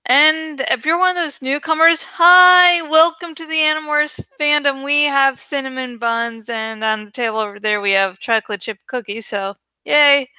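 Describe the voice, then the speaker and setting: A woman's voice: high voice, normal speed, few pauses, sharp tone, dry recording. A woman hosting a reading channel, chatting casually to her viewers in a playful, joking way and swearing now and then. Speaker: host of a dramatic book-reading channel.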